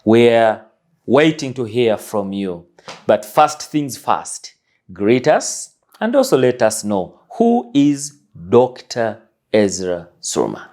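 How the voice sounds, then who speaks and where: A man's voice: medium pitch, big pitch swings, slow pace, a little mellow. A man hosting an interview, introducing his guest in an earnest, emphatic way. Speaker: host.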